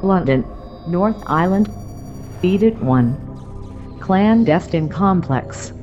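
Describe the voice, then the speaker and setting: An actress playing an impassive announcer of locations in an audio drama, speaking in measured, clipped phrases over muffled sound and music. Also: female voice, lowish voice, lively intonation. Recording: dull lo-fi sound, faint music.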